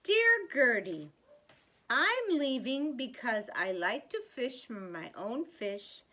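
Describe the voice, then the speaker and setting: A woman reading out the letter of a contented, simple fisherman husband in a storybook, emphatically and deliberately. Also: fairly high pitch, very animated, sharp tone, heavily acted. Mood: plainspoken.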